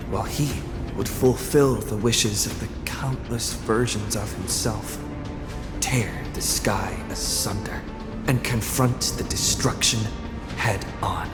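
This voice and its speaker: A man playing a grave, weary hero, narrating a world's fate in a measured, scripted game voice-over. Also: on the low side. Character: solemn heroic male narrator, weary, recounting a world's fate. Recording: echoey hall reverb under loud music.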